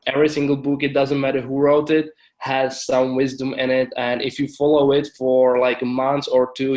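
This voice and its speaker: A man in his twenties from the Czech Republic, with a Czech accent, speaking careful, halting English as a body language expert in an interview. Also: mid-range voice, low-quality recording. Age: 20s. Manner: careful, halting non-native phrasing. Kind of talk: casual interview talk.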